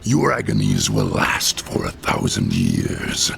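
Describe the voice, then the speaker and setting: A deep, gravelly demon prince in a fantasy video game, his voice dropping very low and swinging widely. He speaks slowly, vowing vengeance with open menace. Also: huge pitch swings, a bit bright, exaggerated voice. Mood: menacing. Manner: threatening, vowing revenge.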